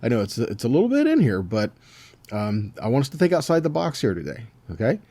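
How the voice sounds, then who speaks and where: A man's voice: medium pitch, wildly swooping pitch, a little fast, warm tone, no room sound. A man teaching a conversational sermon as a preacher, folksy, often checking in with short rhetorical questions.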